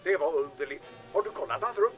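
A man's voice: fairly high pitch, expressive, over music, lightly acted. A man acting an imperious, hot-tempered baron in an audio drama, with a demanding, scolding manner. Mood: alarmed.

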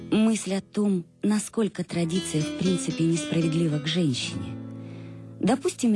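An actress playing a reflective, embittered middle-aged wife in a radio play, confessing with irony to her absent husband in a husky voice. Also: on the low side. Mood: musing, ironic. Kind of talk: dramatic first-person monologue in a radio play. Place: dry studio.

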